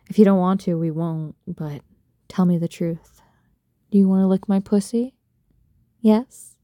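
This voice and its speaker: A woman speaking in an intimate adult roleplay style straight to the listener, soft, breathy and coaxing, full of doting pet names. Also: medium pitch, no echo, clean studio sound. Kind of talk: intimate erotic roleplay audio, addressing the listener.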